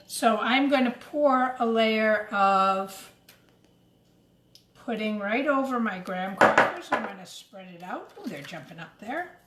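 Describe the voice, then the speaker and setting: A woman with a slightly high voice leads a cooking demonstration for children. She explains each step in simple phrases and speaks to the young audience directly. The room is noticeably echoey, with clear noise and clatter of utensils behind her. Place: indoors in a kitchen. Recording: roomy echo, clattering kitchen noise.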